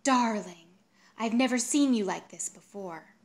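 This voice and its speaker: A woman's voice: a little high, unhurried. Melodramatic, self-important male suitor, performed by a female reader, insistent and pleading, for literary storytelling. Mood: smitten. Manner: insistent, grandiose, wheedling.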